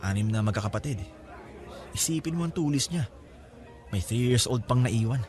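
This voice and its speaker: A man's voice: medium pitch, animated, normal speed, a little mellow, a faint crowd, close to an everyday voice. A man acting a laid-back, candid older half-brother who likes women, in a casual, joking Filipino radio drama style. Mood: light, admiring. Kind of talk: Tagalog radio drama dialogue, conversational. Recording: music bed behind the voice.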